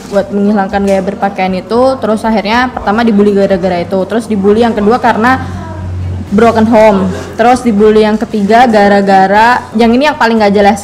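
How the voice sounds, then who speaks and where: A woman in her early twenties recounting her own experiences in a steady, open, conversational way. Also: medium pitch. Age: 20s. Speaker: interviewee. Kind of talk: personal storytelling.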